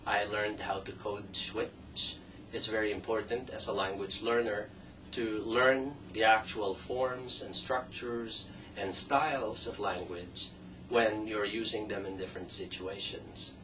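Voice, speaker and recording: A man from the Philippines, a second-language English speaker, introducing an online teacher training course as an assistant professor, measured with frequent um pauses. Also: fairly low pitch, steady tone, pushed and bright. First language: Tagalog and Ilocano. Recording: distant mic with faint noise.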